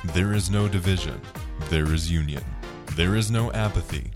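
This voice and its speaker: Deep, solemn male reciter over loud music. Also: normal speed. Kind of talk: slow, solemn scripted recitation. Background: prominent music.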